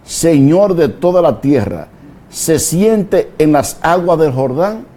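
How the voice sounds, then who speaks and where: A man preaching a fiery sermon, slow and deliberate, breaking into sudden loud shouted peaks. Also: a little high, expressive.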